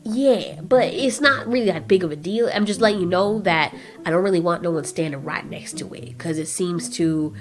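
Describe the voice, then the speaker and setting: A woman's voice: lowish voice, moderate pace, hardly any pauses, natural voice. Audio drama monster: a burrowing male kaiju played by a woman, rambling, apologetic, foul-mouthed, music underneath. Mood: sheepish, rambling. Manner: slangy, hedging, apologetic. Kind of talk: casual audio drama dialogue.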